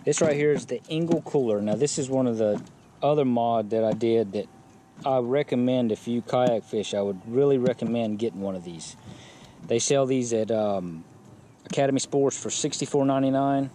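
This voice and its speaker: A man with a mellow, warm voice gives a relaxed, plain-spoken walkthrough of his fishing setup. He is outdoors in a yard and recorded on an action camera. Faint background noise sits under his voice. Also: medium pitch, normal speed. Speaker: kayak angler showing his setup. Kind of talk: casual gear walkthrough. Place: outdoors in a yard beside a kayak.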